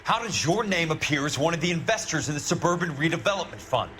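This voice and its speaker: A man's voice: fairly high pitch, expressive, quick pace, pushed and bright, slight background noise. A man acting a tough, sardonic ex-Marine investigator in a noir video game, blunt and dry. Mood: confrontational.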